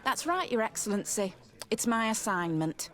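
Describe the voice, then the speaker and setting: A woman's voice: fairly high pitch, very animated, moderate pace, fairly bright tone. A woman playing a courteous, dutiful officer of the guard in a video game. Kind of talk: video game dialogue acting.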